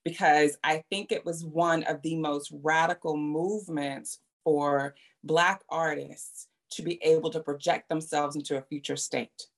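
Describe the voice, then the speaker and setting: A woman with a slightly low voice co-hosts an interview conversation. She speaks in a reflective, thoughtful way and builds her points at length. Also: fairly even intonation, unhurried, hardly any pauses. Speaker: podcast co-host.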